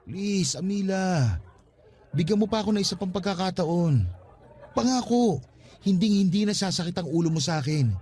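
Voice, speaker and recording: A man's voice: high voice, lively intonation, normal speed, a little mellow, slightly rough. An actor in a radio drama playing an earnest, defensive young father pleading with his ex for another chance.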